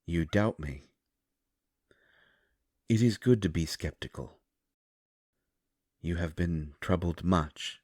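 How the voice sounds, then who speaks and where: A man voicing an ancient, courteous vampire who speaks with formal, measured, deliberate phrasing in an audio roleplay. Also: low-pitched, darkish tone, clean studio sound. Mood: grave, comforting. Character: ancient, courteous, knowing male vampire speaking softly and gravely. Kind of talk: scripted immersive audio roleplay. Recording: roomy echo, faint music behind. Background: faint music.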